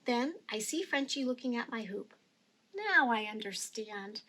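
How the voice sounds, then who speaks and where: High-voiced woman reading a children's story. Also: expressive, measured pace, sharp tone. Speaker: storybook reader. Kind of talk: expressive children's story read-aloud.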